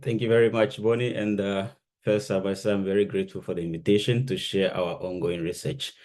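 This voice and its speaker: A man from Ghana in his thirties, a postdoctoral researcher giving a measured conference presentation with frequent "uh" pauses. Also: fairly low pitch, little pitch movement, a little mellow.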